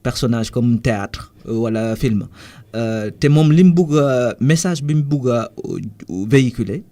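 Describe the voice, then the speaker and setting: A Senegalese man, a musician guest in a radio studio interview, explaining his ideas thoughtfully with frequent pauses and restarts. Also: medium pitch, dark tone.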